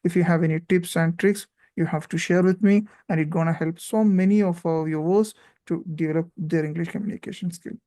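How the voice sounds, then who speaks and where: A man with an Indian accent hosts a relaxed chat, his voice mellow and dark. He talks in encouraging bursts, full of "you know" and "right?". The sound is close and dry. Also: on the high side, brisk, no echo. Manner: frequent fillers and tag questions, encouraging. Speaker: host. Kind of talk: casual interview chat.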